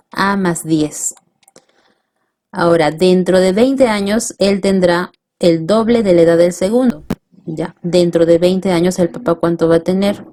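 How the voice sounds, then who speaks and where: Peruvian woman, math teacher, lowish mellow voice, patient step-by-step explainer. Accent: Peruvian Spanish. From Peru. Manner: slow, explanatory, reads problems aloud then works them through. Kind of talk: step-by-step problem solving lesson.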